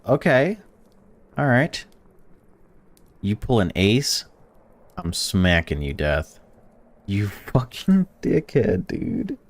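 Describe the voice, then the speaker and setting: A young man in a let's-play, reading a visual novel aloud and reacting to it, swearing freely and swinging between excited outbursts and flat reading. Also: mid-range voice, no echo. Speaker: gaming YouTuber.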